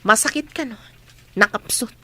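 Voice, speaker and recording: A woman's voice: on the high side, normal speed, pushed and bright. A woman playing a protective, burdened eldest sister in a radio drama, speaking in a guarded, serious way.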